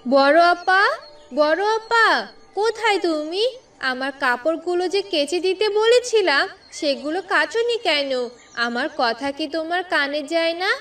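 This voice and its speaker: A woman's voice: extremely high pitch, lively intonation, measured pace, fairly bright tone, distant mic, full character voice. A woman voicing a spiteful, lazy, bossy young second wife in a cartoon, whining and scolding. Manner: whiny, scolding, demanding. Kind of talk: cartoon voice acting.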